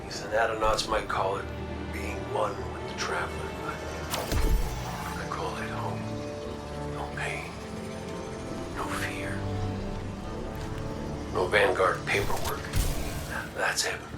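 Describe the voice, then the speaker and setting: A man's voice: fairly low pitch, flat delivery, slow, long pauses. A man voicing a wisecracking renegade robot gunslinger in a video game, talking in a casual, wry, folksy way. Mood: wry, reflective. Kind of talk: video game cutscene acting. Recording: roomy echo, loud music behind.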